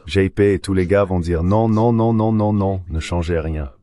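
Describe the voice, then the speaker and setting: A man's voice: sits low, moderate pace, dark tone. A man reading a voice-over translation of an interview guest's political and economic commentary.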